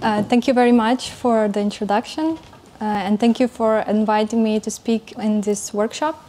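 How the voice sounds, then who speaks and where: A woman giving an academic conference presentation in English, speaking carefully with frequent pauses and fillers. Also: fairly high pitch, fairly even intonation, dark tone, a little hiss or hum. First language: not German.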